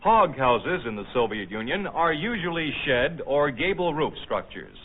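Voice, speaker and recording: An American man reading formal, measured, scripted narration in the manner of a 1950s documentary newsreel. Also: on the high side, sing-song. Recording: tinny band-limited old film soundtrack.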